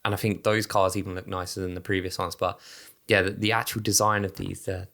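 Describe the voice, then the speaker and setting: A man's voice: low voice, level tone, a little fast, gravelly, bright, forceful tone. A man co-hosting a podcast, chatting casually with lots of fillers and restarts. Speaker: podcast co-host. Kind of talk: casual chat about racing.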